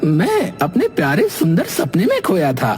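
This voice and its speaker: An actor voicing a playful, dramatic male talking animal in a Hindi cartoon, with exaggerated, drawn-out expression. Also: medium pitch, huge pitch swings, a little mellow, breathy edge, faint music behind, heavily acted. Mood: startled, fussy. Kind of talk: Hindi cartoon character dialogue.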